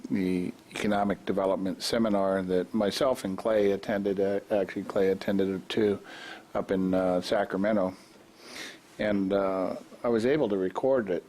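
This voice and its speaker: A man's voice: on the low side, a little mellow. A man on a city council talking casually and hesitantly, with many fillers. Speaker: council member. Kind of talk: council meeting discussion. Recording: faint background noise from a live stream.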